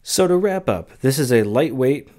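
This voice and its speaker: A man in his thirties giving a relaxed, measured product review from a small home office, speaking close into a desk condenser mic. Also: medium pitch. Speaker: tech reviewer. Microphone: close desk condenser mic on boom arm.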